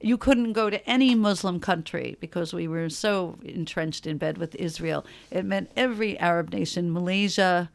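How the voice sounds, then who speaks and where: A middle-aged woman speaks as a guest in a relaxed interview. She tells stories in an animated way, swearing freely, breaking off and restarting her sentences, and laughing as she goes. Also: on the low side, normal speed, creaky, hardly any pauses. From New York. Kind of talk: casual interview chat.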